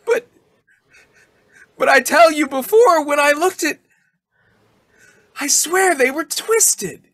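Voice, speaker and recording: Guy doing overwrought halting dad in old radio play, emotional, pitch all over, dry recording. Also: lively intonation, fairly bright tone, no room sound, full character voice. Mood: astonished. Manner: halting, emotional, repeats himself. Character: overwrought, tearful, grateful young father. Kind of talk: old-time radio drama acting.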